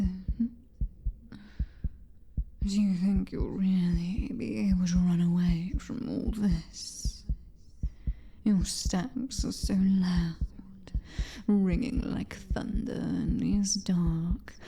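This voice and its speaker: A woman playing a cruel, possessive vampire mistress in an ASMR roleplay, with a British accent and a breathy, taunting whisper. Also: medium pitch, warm tone, heavily acted. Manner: slow, drawn-out, taunting endearments.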